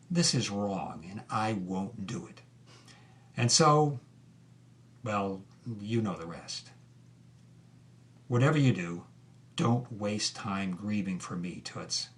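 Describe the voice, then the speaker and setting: A man's voice: fairly low pitch, fairly bright tone. An actor playing a bitter, defeated man voicing his farewell letter in an audio drama.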